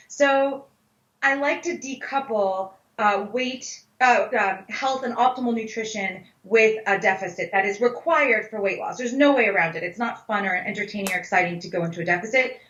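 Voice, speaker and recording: A woman's voice: fairly high pitch, fairly bright tone. A woman speaking as a dietitian guest in a casual expert conversation, candid and emphatic. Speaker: plant-based dietitian, interview guest. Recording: echoey, slightly dull audio.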